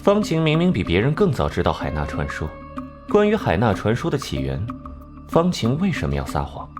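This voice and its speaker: A man playing a sharp, cocky detective in an animated drama, smugly laying out deductions with theatrical rhetorical questions. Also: fairly low pitch, fairly quick, music in the background. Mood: incisive.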